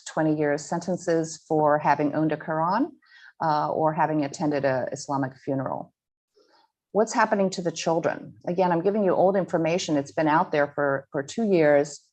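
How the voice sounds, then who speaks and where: A woman giving a slide presentation to a school audience, earnest and halting, with frequent ums and restarts. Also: low-pitched, darkish tone. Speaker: guest speaker presenting slides.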